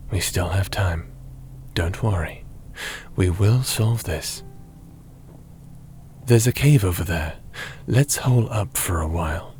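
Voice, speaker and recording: A man playing a gentle, cautious fantasy companion in an ASMR roleplay, speaking in a measured, soothing, slightly formal way. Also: low-pitched, a little hiss or hum. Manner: measured, soothing, slightly formal, sometimes breathy near-whisper. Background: music.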